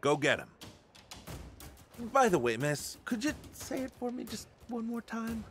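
An actor playing an excitable, flirtatious junker info dealer in a video game, with a slangy, hesitant manner that slips from sly to flustered. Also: male voice, very high voice, measured pace, soft background music, exaggerated voice. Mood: casual, complaining. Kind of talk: video game cutscene acting.

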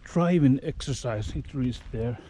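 A man in his thirties giving halting, casual off-the-cuff commentary in short muttered remarks as he explores. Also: medium pitch. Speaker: urban explorer vlogger. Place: abandoned factory grounds, outdoors and in derelict rooms. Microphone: handheld action camera at arm's length. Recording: noisy handheld action camera audio.

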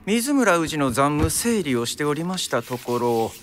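An actor playing a courteous, wry official with a Kyoto accent in a radio drama. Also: male voice, a little high, sing-song, a little hiss or hum. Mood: businesslike. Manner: polite, teasing, unhurried. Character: courteous, wry male official in Taisho Tokyo. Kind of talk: Japanese radio drama acting. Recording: clear music behind the voice.

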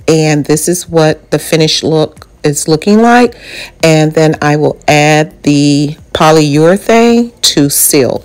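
Woman, low-pitched, home DIY vlogger, step-by-step voice-over, faint music behind. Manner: step-by-step explanatory, with filler ums. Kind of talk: voice-over narration of a DIY project.

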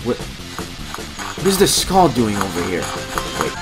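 A man's voice: lowish voice, big pitch swings, slow pace, over loud music, low-quality recording. A man voicing a panicky, whining man who pleads and exclaims in a comic machinima-style cartoon. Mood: panicked, queasy. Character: panicky whining man under someone's control.

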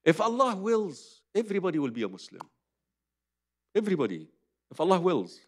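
A man's voice: high-pitched, wildly swooping pitch, fairly quick, dry recording, studio quality. Older Egyptian man preaching. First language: Arabic. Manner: conversational, emphatic, repeats phrases, addresses audience directly. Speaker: Islamic preacher. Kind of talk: conference religious lecture. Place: conference hall stage, seated at table. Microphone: microphone on a boom stand.

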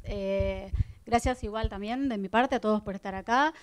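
Clear-voiced woman explaining rules. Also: mid-range voice, normal speed. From Argentina. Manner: polite and explanatory with frequent fillers. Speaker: film distributor coordinator. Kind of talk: press conference announcements. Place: cinema stage at a press conference table. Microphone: handheld mic.

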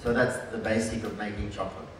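A man's voice: fairly low pitch, fast. A middle-aged man, a chef, explaining a live cooking demonstration step by step to an audience. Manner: relaxed, explains step by step in short phrases. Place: large hall with a stage and audience. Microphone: headset mic. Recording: very echoey hall, heard from a distance, with audible room noise.

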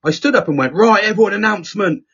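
A middle-aged man with a husky voice, telling a story in casual, sweary podcast chat and acting out the people he quotes. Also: high-pitched, lively intonation, quick pace, pushed and bright. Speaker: podcast host. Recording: dull lo-fi podcast audio.

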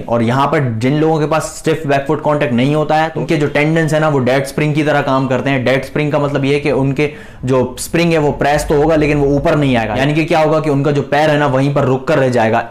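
A young man in his twenties coaching fast bowling, talking straight to camera on a lapel mic in a small room, earnest and explanatory, repeating his key points. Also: on the high side. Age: 20s. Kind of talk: instructional video talking to camera.

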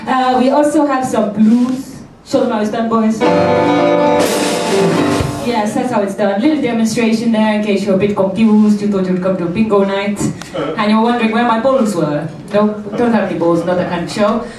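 A woman's voice: mid-range voice, steady tone, normal speed, loud music behind the voice. A young woman hosting a cabaret show, teasing the audience with cheeky, mock-haughty banter on a handheld mic. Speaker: cabaret host. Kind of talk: comic stage banter with the audience. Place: small cabaret club stage. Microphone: handheld mic, filmed from the audience. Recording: roomy club sound from a distant camera, loud band.

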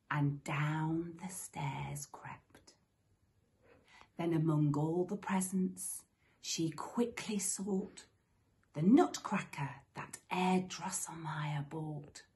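A middle-aged woman narrates a children's story in a low, hushed voice. She goes very slowly, often near a whisper. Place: indoors at home, close to camera.

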